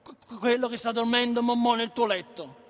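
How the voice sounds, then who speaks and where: An actor with a Neapolitan accent playing a naive, stubborn, inquisitive child in a radio play, stammering and insistently repeating words. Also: male voice, very high voice, steady tone, normal speed. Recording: long hall reverb.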